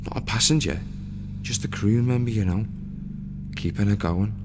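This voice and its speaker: A man's voice: on the low side, background noise, close to an everyday voice. A man playing a bitter dead ship's crewman. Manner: bitter, slow and brooding. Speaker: actor in an audio play monologue. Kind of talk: scripted dramatic monologue. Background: music.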